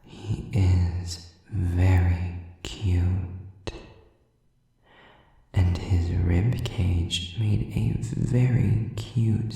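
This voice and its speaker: Very slow, low, sadistic demon. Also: male voice, creaky, mellow, very echoey, distant mic, exaggerated voice. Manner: slow, deliberate, intimate, often dropping to a whisper. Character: sadistic male demon, predatory, mocking, menacingly seductive. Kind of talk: audio roleplay addressed to the listener.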